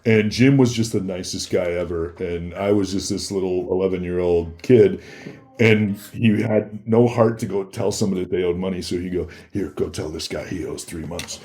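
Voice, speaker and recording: A man with a low-pitched voice, talking as a guest on a podcast. He tells stories in a casual, conversational way, slipping into short quoted exchanges as he recounts them. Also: fairly even intonation, normal speed, dark tone. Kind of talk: casual anecdotal storytelling.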